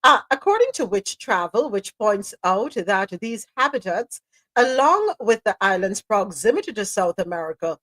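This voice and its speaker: A woman's voice: medium pitch, big pitch swings, normal speed, fairly bright tone, no echo. A woman with a Jamaican accent hosting a live radio chat show, reading articles aloud and laughing as she slips into patois. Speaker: host.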